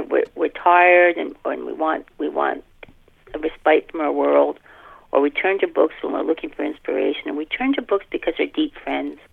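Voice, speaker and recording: A woman's voice: lowish voice, creaky. Older woman on a tinny phone line. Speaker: author interview guest. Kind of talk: casual podcast conversation. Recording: thin band-limited phone line.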